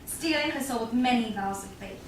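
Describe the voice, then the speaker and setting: A woman's voice: fairly high pitch, a little fast, natural voice. Woman playing a teasing young lover, very echoey. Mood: playful, teasing. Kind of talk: staged Shakespeare reading. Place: small theatre stage, far audience camera. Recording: very echoey stage, distant, faint noise.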